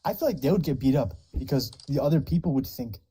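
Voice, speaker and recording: Male panelist, husky and mellow, rambling. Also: medium pitch, lively intonation, quick pace. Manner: rambling, many likes and restarts. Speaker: debate panelist.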